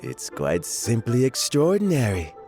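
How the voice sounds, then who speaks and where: A composed gentleman gourmet in a game cutscene. He speaks slowly and eloquently, savoring words, with a very wide melodic range over faint music. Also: medium pitch, huge pitch swings. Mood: appreciative. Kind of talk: video game cutscene voice acting.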